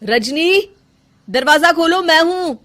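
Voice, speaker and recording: Story cartoon husband, read by a woman: young, earnest, insistent, questioning, lively intonation. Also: very high-pitched, slow, voice pushed up, heavily acted. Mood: concerned. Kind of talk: Hindi children's story cartoon dialogue.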